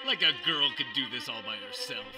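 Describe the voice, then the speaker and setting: An actor playing a pompous, square, chauvinist superhero detective in a parody of an old-time radio serial, exclaiming melodramatically. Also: male voice, medium pitch, animated, fairly quick, natural voice. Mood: indignant, taunting. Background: music.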